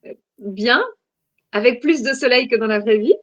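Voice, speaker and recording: A woman's voice: a little high, moderate pace, a bit bright, no room sound, lo-fi sound. A woman in her forties from Belgium, a podcast guest answering questions in a thoughtful, hesitant way with many fillers. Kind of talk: casual interview answers.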